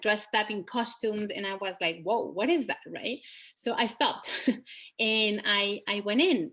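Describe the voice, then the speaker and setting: A woman's voice: mid-range voice, fairly bright tone. A woman from Spain, speaking as a local journalist on a video-call panel, hesitant and digressive with many fillers. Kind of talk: virtual panel discussion.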